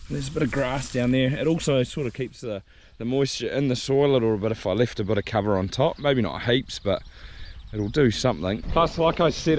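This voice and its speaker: A man, hoarse from a sore throat, chatting casually to camera while walking a farm paddock. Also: medium pitch, slight background noise. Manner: relaxed, rambling, thinking aloud. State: hoarse, losing his voice. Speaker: farmer vlogger.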